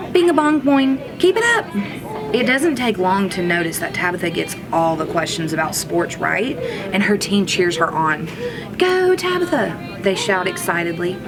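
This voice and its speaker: A woman reading a children's storybook aloud like a teacher, in an expressive manner. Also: on the low side, normal speed, over music. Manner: expressive, acts out characters' lines.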